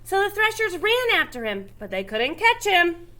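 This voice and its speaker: High, bright woman reading a children's story. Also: very animated, normal speed. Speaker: storybook reader. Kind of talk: children's read-aloud narration with asides.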